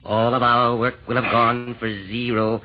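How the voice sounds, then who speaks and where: A man's voice: mid-range voice, monotone, normal speed, lightly acted. An actor playing a scheming chairman of villains in an old radio comedy, speaking in formal declaimed rhyme. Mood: grand, scheming. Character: smooth-scheming male chairman of hell's villains. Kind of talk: 1940s radio comedy acting. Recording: muffled, noisy old-time radio recording.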